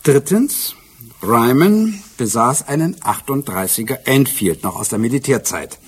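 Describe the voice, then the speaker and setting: Police inspector, radio drama: mid-pitched, slightly rough voice, businesslike, methodical, dryly teasing. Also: male voice, animated, measured pace. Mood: methodical, wry. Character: brisk, wry male police inspector in Britain. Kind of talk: German radio drama acting.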